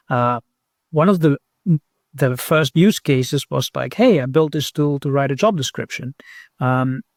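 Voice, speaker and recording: Guy on a podcast answering questions, kinda measured and hesitant, really dry close sound. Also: mid-range voice, no room sound. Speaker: podcast guest, recruitment technology professional. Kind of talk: conversational interview answers.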